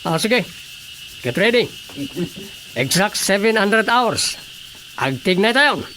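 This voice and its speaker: A man's voice: sits high, very animated, takes it slowly, full character voice. A man with an Ilocano accent playing a resolute, authoritative army officer in a radio drama. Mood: firm, commanding. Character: resolute army officer giving orders to his men.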